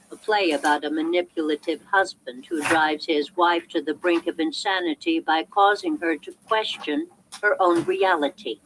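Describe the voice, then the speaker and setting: A woman reading a self-help audiobook in a measured, clearly articulated and emphatic way. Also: lowish voice, fairly bright tone, slight background noise, poor audio. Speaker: audiobook narrator.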